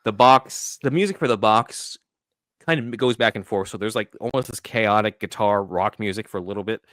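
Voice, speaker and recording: A male podcast host with a deep voice. He talks casually and explains as he goes in a chatty film discussion, recorded very dry. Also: normal speed, a bit bright, no room sound.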